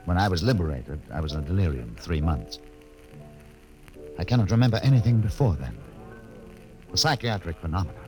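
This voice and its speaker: A guarded, somber doctor from a classic radio drama. His voice is very low but moves widely in pitch, with formal, clipped phrasing. Music sits clearly behind him on the vintage recording. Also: animated, fairly quick, long pauses, a little mellow, poor audio. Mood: grave, detached. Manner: formal, clipped, emotionally detached. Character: somber, guarded middle-aged male doctor, war survivor. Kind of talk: old-time radio drama acting.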